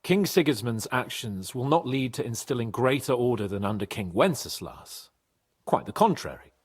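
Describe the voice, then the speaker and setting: A man playing a bold, polite young nobleman in a video game, with a husky voice and an earnest, deferential way of talking. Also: mid-range voice, sing-song, normal speed. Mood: earnest, diplomatic. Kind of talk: video game cutscene acting.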